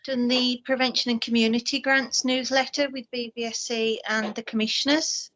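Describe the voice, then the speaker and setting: A woman's voice: fairly high pitch, steady tone, fairly bright tone, a little hiss or hum. A woman who works on community grants speaks in a polite, friendly way during an online meeting. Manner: friendly, polite, quick thanks. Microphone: video call. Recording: dull lo-fi video call audio.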